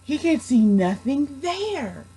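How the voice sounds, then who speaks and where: A young woman reading a children's storybook aloud in an expressive storytelling style. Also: sits high, slow pace, rough recording. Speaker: storybook reader, education student.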